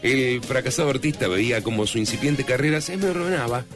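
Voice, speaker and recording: A man's voice: medium pitch, a little fast. A man with an Argentine accent narrating a comic radio serial in a dramatic scripted style. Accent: Argentine Spanish. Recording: radio narration over loud music.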